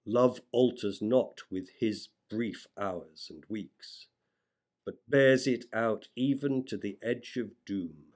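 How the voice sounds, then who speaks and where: A man reciting classic poetry as a reader, in a measured, expressive way. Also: fairly low pitch. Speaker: sonnet reader.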